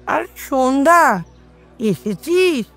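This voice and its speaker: A woman's voice: high voice, expressive, very slow, a bit bright, faint music behind, heavily acted. A woman playing an affectionate old grandmother and household matriarch in a Bengali audio story, with tender, coaxing delivery. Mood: delighted, fond.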